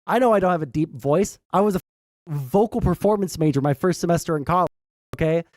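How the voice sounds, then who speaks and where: A young man with a high tenor voice, giving blunt, sarcastic reaction commentary and swearing freely. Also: brisk, a little mellow, dry recording, studio quality. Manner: blunt, sarcastic, swears often, many "all right?" tags. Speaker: commentary streamer.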